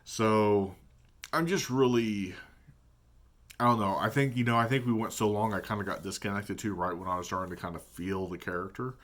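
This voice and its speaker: A man with a deep voice talking casually in a vlog about his tabletop gaming, thinking aloud and hesitating as he goes. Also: fairly even intonation, moderate pace, a bit bright. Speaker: tabletop game player. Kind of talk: casual reflective vlog talk.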